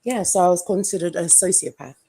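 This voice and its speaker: A woman with an English accent talks bluntly and with dark humor, her voice sitting a little low. She is a guest on a casual live stream. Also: fairly quick, vocal fry, husky. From Jamaica.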